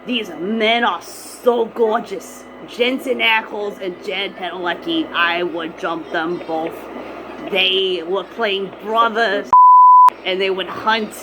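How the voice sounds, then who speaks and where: A woman's voice: mid-range voice, fairly even intonation, takes it slowly, heavy background noise, natural voice. A woman playing a chatty, easily distracted, argumentative grandmother on a prank phone call, drawing out her words for emphasis. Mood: gushing, rambling. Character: chatty, defiant, easily distracted grandmother, swooning over TV men.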